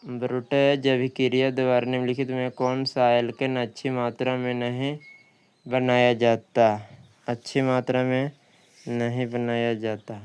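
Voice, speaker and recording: A man's voice: medium pitch, completely flat delivery, a little slow, darkish tone, clear, resonant tone. A man working through practice questions as a coaching teacher, reading each one out and repeating questions and answers.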